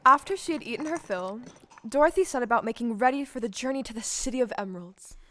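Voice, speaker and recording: A woman playing a measured, gentle storybook narrator in a scripted audio play. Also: fairly high pitch, lively intonation, slight background noise. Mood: descriptive. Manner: measured, clearly phrased.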